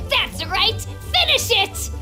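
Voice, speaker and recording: A woman's voice: very high voice, big pitch swings, takes it slowly, bright, forceful tone, faint music behind, exaggerated voice. A woman voicing an earnest, playful and stubborn little boy in an anime-style video game. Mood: eager. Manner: childlike, earnest.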